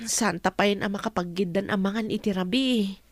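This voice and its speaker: An actress playing an earnest, suspicion-prone young wife who sells farm produce, with a Filipino Ilocano accent, in radio drama style. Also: female voice, mid-range voice, fairly even intonation, normal speed, close to an everyday voice. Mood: concerned, cautioning. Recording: music bed under radio drama dialogue.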